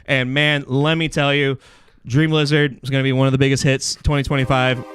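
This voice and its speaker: A man hosting a livestream game show in a studio with casual, slangy, excitable banter. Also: mid-range voice, fairly quick, slight background noise. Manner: animated, slangy, often shouting in excitement. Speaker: show host.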